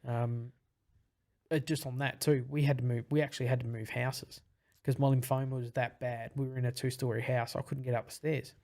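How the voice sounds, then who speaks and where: A young man with a husky voice, a podcast guest talking in casual, rambling chat. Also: mid-range voice, flat delivery, normal speed, a little mellow. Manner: rambling, with many fillers and restarts.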